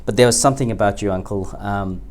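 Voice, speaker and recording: A man's voice: on the low side, fast, a little mellow. A man hosting an interview in a friendly, respectful, chatty way. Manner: friendly, respectful, chatty, calls guest uncle. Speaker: interview host. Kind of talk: casual interview.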